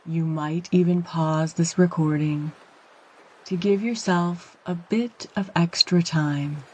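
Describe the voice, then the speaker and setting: Woman, meditation guide, slow delivery, calm, gentle, soothing, measured phrases, long pauses. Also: fairly low pitch, husky. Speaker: yoga nidra meditation guide.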